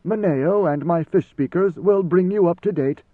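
A man voicing an ancient, godlike ruler who speaks in a calm, knowing, lordly and deliberate way, as in an audiobook. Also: high voice, voice pushed up. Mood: lordly, probing. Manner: measured, deliberate, formal. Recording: slightly roomy, phone-like narrow sound.

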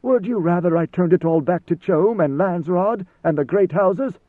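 Man voicing an ancient god-ruler, high and measured. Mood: wry, imperious. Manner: measured, deliberate, formal. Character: ancient godlike male ruler, lordly, calm, knowing. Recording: slightly roomy, phone-like narrow sound.

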